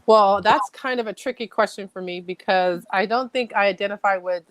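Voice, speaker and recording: A woman's voice: mid-range voice, fairly quick, dry recording, low-quality recording. A woman from Thailand, a chef, talking as a podcast guest in a friendly way and laughing often. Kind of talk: casual interview chat.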